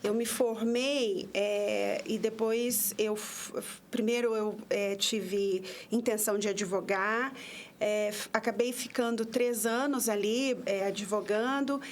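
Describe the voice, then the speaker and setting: A woman's voice: medium pitch, fairly even intonation, slow pace, a little hiss or hum. A woman in her fifties from the interior of São Paulo, a prosecutor guesting on a podcast, telling her story in a hesitant way with many restarts. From interior of São Paulo state, Brazil. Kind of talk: conversational interview.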